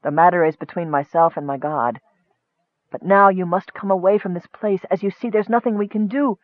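A man plays a tormented male cleric in a fantasy audiobook. He is devout, protective and fearful, pleading earnestly in a high male voice. The recording is dry and muffled. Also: fairly even intonation, brisk, no room sound, close to an everyday voice. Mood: weary, torn. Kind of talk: audiobook character acting.

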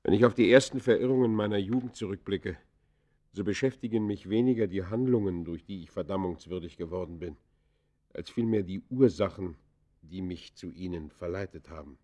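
Deep, mellow-voiced penitent criminal. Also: male voice. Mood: confessional, brooding. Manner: formal, solemn, halting stage delivery. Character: penitent male criminal confessing his life, earnest and brooding. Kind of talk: classic German radio play acting, literary and declaimed.

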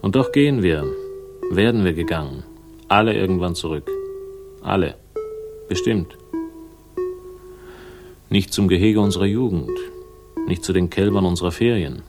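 A low-voiced, world-weary private investigator in a 1960s German radio crime play. He talks in a laconic, sardonic way, with dry wit, and music plays clearly behind him. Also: moderate pace, pauses often. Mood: brooding, sardonic. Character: world-weary, dry-witted male investigator narrating his own case. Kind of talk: 1960s German radio crime drama with first-person narration.

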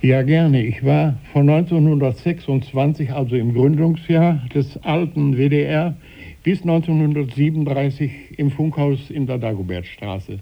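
An elderly male author of eighty reminisces in a careful, precise way as a radio guest. His voice crackles with fry, and the recording is a little dull. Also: fairly high pitch, normal speed. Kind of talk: reminiscing in an interview. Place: radio studio.

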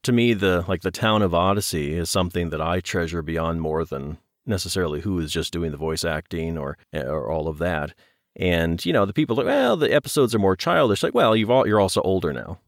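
A man with a low voice and a close, dry sound chats as a guest. He reflects casually, often halting and repeating words as he works out his thought. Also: animated, no echo. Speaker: interview guest, longtime fan.

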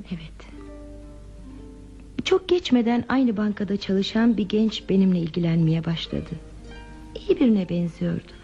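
An actress playing a lonely, withdrawn woman in a radio play, confiding her sad past in a hesitant, soft, reflective way. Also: a little high. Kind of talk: Turkish radio theatre acting. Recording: muffled lo-fi radio drama with music.

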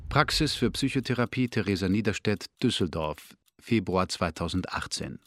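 An actor playing a detached narrator, announcing scenes in terse, factual, scripted phrases. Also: male voice, low-pitched, lively intonation, moderate pace, fairly bright tone. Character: terse, detached male narrator giving places and dates.

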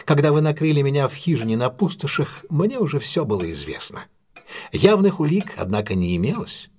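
An actor playing a composed, analytical male detective in an audio drama, explaining things in a deliberate, self-assured way. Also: on the high side, big pitch swings, a little mellow. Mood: composed, explanatory. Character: composed, analytical male English detective recounting a solved case.

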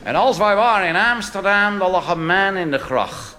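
A man's voice: high-pitched, animated, moderate pace, noisy background. A man voicing a chatty English-speaking male tourist who mixes English words into clumsy Dutch grammar. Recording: reverberant live hall with music.

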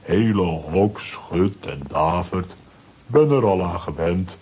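A simple, caveman-like strongman butler for a children's radio play. The voice is low, dark and slow, in short clipped phrases that stay unflappable. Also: lively intonation, breathy edge, heavily acted. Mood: calm, puzzled. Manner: clipped telegram-style phrases. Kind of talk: children's audio drama acting.

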